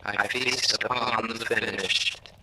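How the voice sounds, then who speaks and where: A man's voice: lowish voice, flat delivery, slow pace. A man playing a ruthless, hungry hollow creature in an audio drama, taunting with cryptic, repetitive menace. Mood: gloating. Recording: echoing voice with faint background noise.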